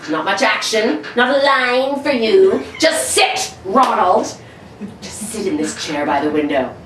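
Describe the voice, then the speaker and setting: A woman's voice: medium pitch, expressive, unhurried, fairly bright tone. A woman acting a vengeful, slyly genial male stage actor in an old-time radio suspense play, silky and theatrical. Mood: gloating triumph. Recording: roomy echo, distant mic, faint noise.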